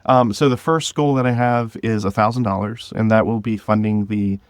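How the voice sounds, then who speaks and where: A man's voice: lowish voice, fairly even intonation, moderate pace, no room sound, studio quality. A man talking casually as an interview guest, thinking aloud and correcting himself as he goes. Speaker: guest, independent audio drama creator.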